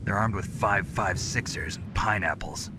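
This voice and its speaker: A man voicing a gruff, dry-witted special forces agent with a low rasp, in a noisy game cutscene. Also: a little slow, lightly acted. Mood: focused. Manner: dry, laconic.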